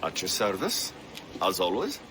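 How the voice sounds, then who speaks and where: A man's voice: sits low, huge pitch swings, measured pace, background noise, full character voice. A man playing a genial naval captain in a video game, formal and polite. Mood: courteous.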